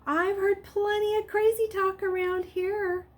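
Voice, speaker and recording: A woman voicing a brisk, sceptical school nurse in a storybook, questioning and wry. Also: very high voice, level tone, voice pushed up. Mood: reassuring, wry. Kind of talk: storybook character voice. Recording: clearly noisy background.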